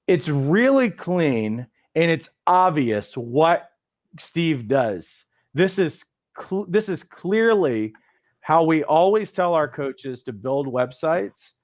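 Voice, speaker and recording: A man's voice: fairly high pitch, animated, slow, a little mellow, no echo, studio quality. A man hosting a live coaching webinar, upbeat, reading out viewer comments over a video call. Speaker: host of a coach training webinar. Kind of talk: casual live webinar chat.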